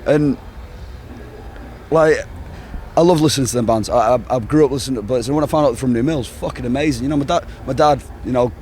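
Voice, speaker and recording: A man's voice: medium pitch, brisk, a little mellow. A man in his twenties with a Northern English accent, chatting casually in an interview in a rambling, sweary way. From England. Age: 20s. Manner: sweary, rambling, peppered with "you know, like". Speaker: band member being interviewed. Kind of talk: casual chat. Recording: outdoor recording with urban ambient noise.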